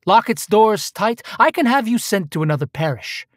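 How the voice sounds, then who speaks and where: An actor in a radio play playing a hard-nosed, insinuating Pinkerton detective, pushy and coolly threatening. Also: male voice, sits high, very animated, normal speed, dry recording, clean studio sound.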